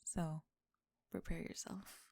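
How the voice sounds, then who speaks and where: A woman playing a young tomboy friend in an intimate ASMR roleplay, halting and flustered, teasing gruffly and often slipping into whispers. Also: dark tone, lightly acted. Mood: tender, teasing.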